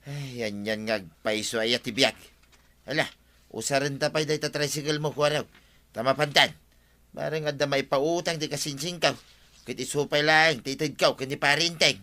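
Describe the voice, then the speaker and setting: A man's voice: a little high, fairly even intonation, moderate pace, bright, forceful tone. An actor with an Ilocano accent playing a chummy village sidekick who soothes and advises his friend in a radio comedy drama. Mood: helpful. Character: village buddy, soothing sidekick of a troubled captain.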